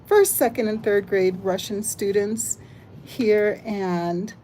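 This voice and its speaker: An older woman hosts a casual live stream, reading viewers' comments aloud and thinking out loud as she goes. Her voice is mellow and warm and sits at a middle pitch. Faint background noise hums under the home-mic sound. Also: slow. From United States. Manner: chatty, reads chat aloud, thinks out loud. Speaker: livestream host.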